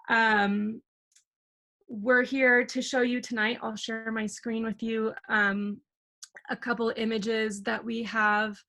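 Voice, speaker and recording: A woman's voice: medium pitch, vocal fry, sharp tone. A young woman, a documentary filmmaker, telling a historical story at an online community meeting over a video call, earnest and full of fillers.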